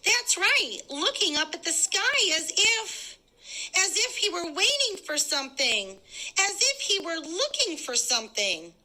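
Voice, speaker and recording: A woman's voice: very high-pitched, sing-song, unhurried, sharp tone, pitched above the performer's own voice, exaggerated voice, poor audio. A woman playing a suspicious, gossiping female neighbor who makes accusations in a dramatic play read-aloud. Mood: insinuating.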